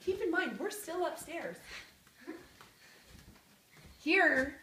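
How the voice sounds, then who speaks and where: A woman's voice: very high-pitched, big pitch swings, very slow, pushed and bright, echoey room, far from the mic, a little hiss or hum. A woman in her thirties giving a chatty, jokey tour of an empty new house, filmed on a phone. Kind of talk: casual home tour video. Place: empty house with bare rooms, indoors. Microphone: phone camera held by another person.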